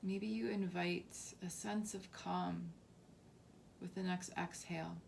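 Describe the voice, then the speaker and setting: A woman leading a guided body scan meditation as a teacher, softly and calmly, with unhurried phrasing and long pauses. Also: fairly low pitch, gravelly, a little hiss or hum. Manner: soft, unhurried, calming instruction with long pauses.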